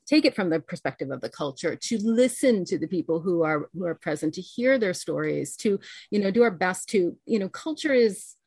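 American woman guest, lowish voice, dry close sound, earnest, keeps restarting. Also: lively intonation, brisk, a little mellow, slightly rough, no room sound. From United States. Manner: thoughtful, earnest, many restarts. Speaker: author and guest. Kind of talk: podcast interview.

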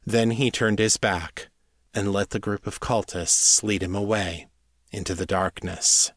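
A man's voice: on the low side, fairly even intonation, measured pace, dry recording. A man narrating in a scripted style, as the host of a fiction podcast. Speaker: author narrating own audiobook podcast and host.

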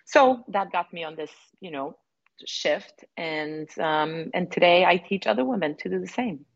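A woman from Serbia in her forties speaks in a low register and reflects on her path, pausing often with fillers and restarting her sentences. The recording is dry and a little muffled. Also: expressive, gravelly, no room sound. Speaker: women's relationship coach. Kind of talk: conversational interview.

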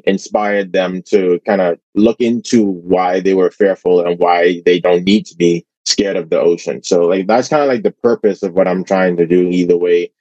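A man from the Bahamas, a relaxed, easygoing guest on a radio interview. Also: low voice, normal speed, hardly any pauses, no room sound, dull audio.

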